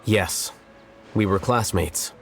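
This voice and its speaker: An actor playing an aloof, coolly rational young scholar in an anime game, speaking with detached, blunt precision. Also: male voice, low-pitched, slow, creaky, a little hiss or hum, heavily acted. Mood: dry, dismissive.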